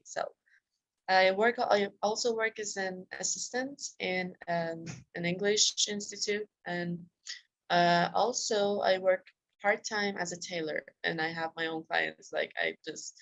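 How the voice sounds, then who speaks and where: A woman from Iran, a self-discipline coach, answering interview questions candidly with soft laughs. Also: fairly low pitch, low-quality recording.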